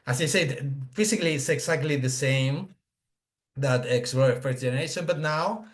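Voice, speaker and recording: A man gives an unscripted webinar product demonstration, halting and searching for words, on a video call. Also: fairly high pitch. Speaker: product presenter. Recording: echoey room on a video call.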